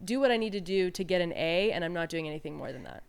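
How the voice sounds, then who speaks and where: A woman in her thirties, from Peru, speaks as an interview guest. Her voice sits low, with a very clear, clean tone. She talks candidly and reflectively, often pausing on fillers and restarting sentences. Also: expressive. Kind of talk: casual conversational interview.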